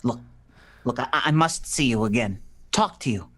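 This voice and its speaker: A man's voice: mid-range voice, lively intonation, normal speed, bright, forceful tone. Flustered guy on the run, man acting it out, stammers, pleads, voice swings up and down, old radio play style. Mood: indignant, urgent.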